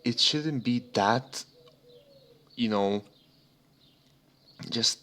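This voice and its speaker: A man's voice: mid-range voice, extremely slow, a bit bright. A young man from Serbia chatting casually as a podcast co-host, rambling and swearing freely. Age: young adult. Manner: rambling, profane. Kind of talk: casual motorsport podcast chat. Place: remote voice chat.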